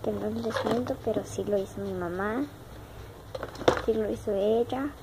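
A woman's voice: medium pitch, steady tone, unhurried, heavy background noise. A young girl from Argentina with an Argentine Spanish accent, chatting casually and repeating herself as she shows off her dollhouse, recorded on a handheld phone indoors. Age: child. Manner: chatty, repeats words, childlike diminutives. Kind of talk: casual show-and-tell narration. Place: home room with wooden floor, indoors. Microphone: phone camera held in hand.

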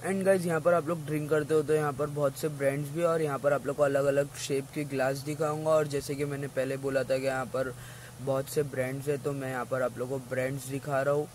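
A man's voice: mid-range voice, level tone, fast, darkish tone, a little hiss or hum, low-quality recording. A teenage man, chatting to his viewers as he reviews a restaurant. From India. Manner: chatty, keeps addressing viewers as guys. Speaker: food vlogger. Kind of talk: casual restaurant review vlog. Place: busy mall restaurant. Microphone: phone camera at arm's length.

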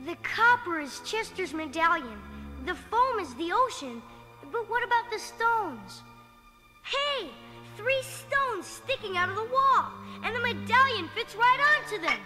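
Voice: very high voice, sing-song, unhurried, full character voice. A performer playing an eager, adventurous young boy on a treasure hunt in an acted read-along storybook. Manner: eager, exclaiming. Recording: loud music under the voice.

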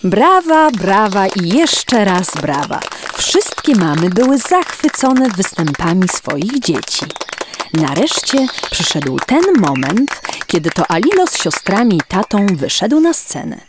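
A woman reading a scripted children's story in an expressive storytelling manner. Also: mid-range voice, measured pace, noisy background. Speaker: narrator.

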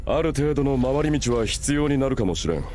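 An actor playing a steady young man in a game, speaking calmly and matter-of-factly. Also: mid-range voice, a little fast, slight background noise, lightly acted. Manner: calm, matter-of-fact. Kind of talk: Japanese game dub acting. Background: game music.

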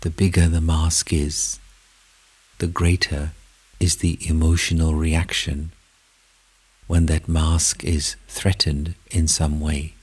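Very deep, breathy man guiding meditation. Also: flat delivery, darkish tone. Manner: measured, in short phrases with long pauses. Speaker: meditation guide. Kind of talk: guided meditation narration.

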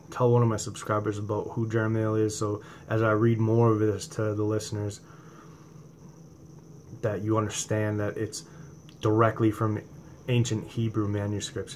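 A man in his forties with a New England accent, preaching informally to his viewers from home and talking into a webcam, swinging from impassioned, rising emphasis to a quieter conversational tone. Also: on the low side, a little hiss or hum. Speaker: religious video host. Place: home room indoors at night. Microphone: webcam or laptop camera from below.

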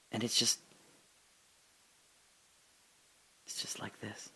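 An actor in an audio drama playing a rambling detained man, bitter and sardonic, who swings from hushed musing to indignant rants. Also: medium pitch, natural voice. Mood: wistful.

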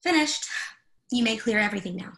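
A woman's voice: on the high side, animated, a little fast, a bit bright. A woman in a play reading, playing a stressed, demanding professor with an emphatic, impatient manner. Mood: hurried, irritated. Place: indoors at home, on a video call.

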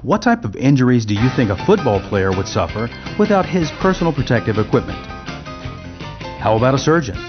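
Loud guitar music plays under a man narrating a training film. His mid-pitched voice is lively in its intonation and presentational, with slightly dull sound. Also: brisk.

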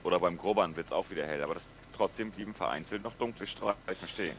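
Man, northern German accent, low voice, relaxed explaining, faint hiss. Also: quick pace, gravelly. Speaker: co-player. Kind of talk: casual gaming chat.

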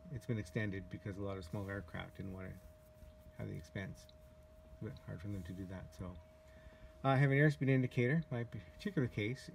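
A man giving a casual, halting walkthrough as a glider pilot, pausing often as he explains, recorded outdoors on a handheld camera. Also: fairly low pitch, darkish tone, poor audio. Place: outdoors in an open glider cockpit in a driveway. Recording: handheld camera recording with clear background noise.

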